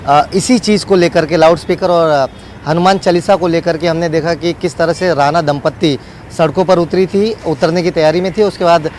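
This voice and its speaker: A man's voice: a little high, steady tone, moderate pace, darkish tone, a little hiss or hum. A man giving a wordy, unscripted live news report as a field reporter, often repeating set phrases.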